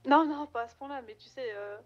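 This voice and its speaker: A woman acts out a caring, slightly scatterbrained woman in an online roleplay game. Her voice is fairly high, heard through dull voice-chat sound. She talks informally, restarting sentences and poking fun at herself. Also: a little fast, a crowd in the background, close to an everyday voice. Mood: chatty, shy. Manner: informal, hesitant with frequent restarts. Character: chatty, caring, self-deprecating woman, playfully scatterbrained. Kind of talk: improvised in-character roleplay chat.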